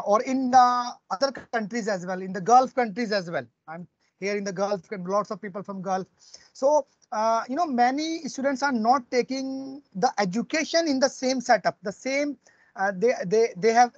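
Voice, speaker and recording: A middle-aged man with an Indian accent, lecturing and answering in a halting, repetitive way over a video call. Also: high voice, no echo. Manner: halting, repeats himself. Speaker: guest lecturer. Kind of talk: answering questions in a webinar. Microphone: earphone mic on video call. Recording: dull lo-fi online call.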